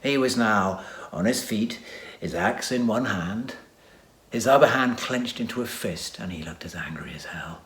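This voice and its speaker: A man narrating a children's adventure story with dramatic reading and hushed asides. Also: fairly low pitch, expressive, measured pace. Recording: echoey room.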